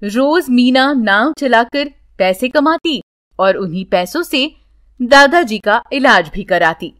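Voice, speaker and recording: A woman's voice: on the high side, expressive, slow, fairly bright tone. A woman narrating a scripted bedtime story for children as a storyteller.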